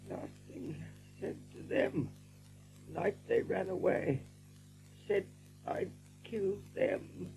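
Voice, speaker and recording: An actor voicing an emotional warrior rabbit in an audio drama, halting and swinging from murmur to outburst. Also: male voice, sits high, wildly swooping pitch, creaky, a little mellow, soft background music, heavily acted, low-quality recording. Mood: anguished, grieving.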